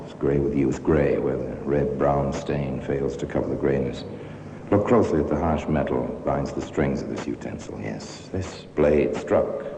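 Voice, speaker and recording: A man playing a grave, macabre museum guide and narrator in a 1950s radio crime drama, speaking slowly and theatrically with dramatic pauses. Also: rumbling low, mellow, rough recording. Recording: hissy old 1950s radio recording.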